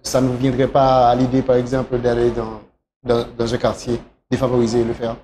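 A man with a Haitian accent interviewing conversationally as a talk show host, halting as he searches for words. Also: mid-range voice, monotone, mellow. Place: small talk show studio, behind a desk. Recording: noticeable background noise.

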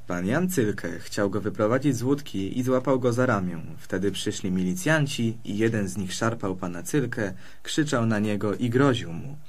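A man playing a friendly, peaceable fox who tells stories politely and evenly in a children's audio play. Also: on the low side. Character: friendly, peaceable male fox recounting local history.

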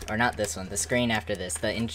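A man's voice: fairly low pitch, steady tone, fast, a bit bright, slight background noise. A young man giving casual, informal gameplay commentary in a rambling way, recorded in a small room at home. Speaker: gaming video creator.

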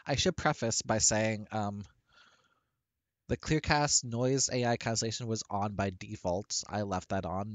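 A deep-voiced man speaks slowly and takes his time, restarting his sentences as he walks through a software setup. His headset microphone picks him up dry and close, with no room sound around him. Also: no echo. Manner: rambling with frequent restarts and fillers. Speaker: tech tutorial creator. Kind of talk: casual screen-recorded software tutorial.

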